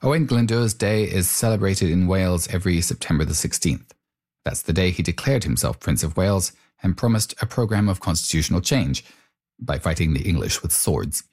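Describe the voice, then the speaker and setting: A man hosting and narrating, alternating scripted narration with questions in a measured, explanatory way. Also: low voice, a little mellow. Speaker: host and narrator.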